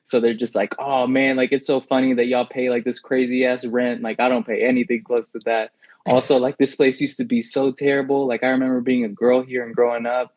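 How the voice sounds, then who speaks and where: A man's voice: mid-range voice, flat delivery, a little fast, darkish tone, dry recording. Rambling young man, dry close sound. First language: Spanish. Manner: rambling storytelling with many fillers. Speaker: podcast co-host. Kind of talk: casual chat.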